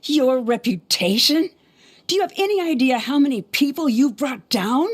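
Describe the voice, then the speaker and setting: An actress playing a bitter young student in an anime video game, scolding and accusing in an indignant voice. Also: female voice, high-pitched, expressive, normal speed.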